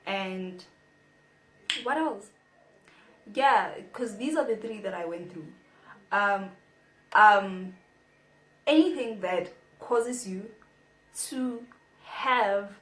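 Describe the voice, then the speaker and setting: Brief: young woman, slow and playful vlog delivery, echoey room. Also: mid-range voice. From South Africa. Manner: chatty and playful, reads from notes. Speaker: vlogger. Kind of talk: casual advice vlog.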